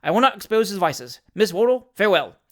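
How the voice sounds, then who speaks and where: A man's voice: high voice, sing-song, a little fast, a bit bright, voice pushed up. A glib male schemer from a storybook, acted out by a male narrator, who talks in short telegraphic bursts. He piles on theatrical gallantry and mock anguish to win people over. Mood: melodramatic. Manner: clipped telegraphic fragments, theatrical. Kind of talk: dramatised storybook reading.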